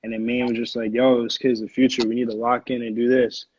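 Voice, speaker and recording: A man's voice: medium pitch, flat delivery, quick pace, darkish tone, breathy edge, dry recording, highs cut off. A man in his early twenties with an American accent, chatting casually and in slang as an interview guest. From Connecticut, USA. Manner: slangy, informal. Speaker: music producer, interview guest. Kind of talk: casual chat.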